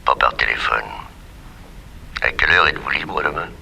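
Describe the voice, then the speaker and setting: Deep-voiced, menacing blackmailer. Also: male voice, normal speed, gravelly, a little hiss or hum, full character voice, low-quality recording. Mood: cold, threatening. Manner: calm, coercive, sardonic. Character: menacing male blackmailer, smooth crook in haute couture. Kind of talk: early 1960s French radio crime drama acting.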